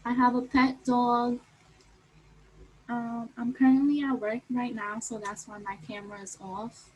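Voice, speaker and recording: A woman student introducing herself in brief, quiet answers while at work. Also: fairly high pitch, flat delivery, a little slow, slight background noise, poor audio.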